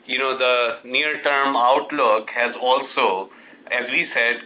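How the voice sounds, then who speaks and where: A man speaking as a company executive on an earnings call, answering in a halting, measured, explanatory way. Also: medium pitch, slight background noise. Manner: halting, measured corporate explaining with frequent pauses. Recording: dull lo-fi conference call audio.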